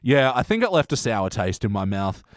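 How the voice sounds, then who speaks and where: A man's voice: medium pitch, big pitch swings, normal speed, no room sound, clean studio sound. A man co-hosting a podcast in blunt, sweary, casual chat about a TV show. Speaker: podcast co-host.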